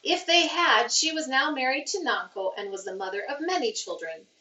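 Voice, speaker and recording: A woman reading a children's novel aloud as a teacher would, with expressive, deliberate storytelling phrasing. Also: sits high. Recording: roomy, muffled, distant microphone.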